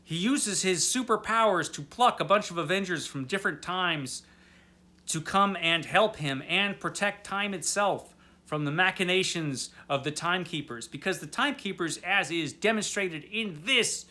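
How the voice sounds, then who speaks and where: Bright-voiced tipsy man, wry and rambling. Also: sits high, expressive, moderate pace. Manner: wry, tongue-in-cheek. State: drunk. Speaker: host of a comic book read-along. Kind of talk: casual rambling review to camera.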